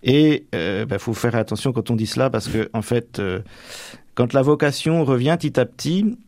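A man's voice: medium pitch, animated, moderate pace, a little mellow, no room sound, clean studio sound. A young man training for the priesthood, answering radio interview questions in a hesitant way with many fillers and restarts. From Manche, Normandy.

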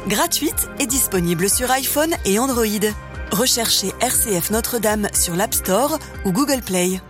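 A woman's voice: on the high side, animated, unhurried. A woman reading a scripted radio advertisement in an upbeat promotional way. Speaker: ad voice-over. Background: music.

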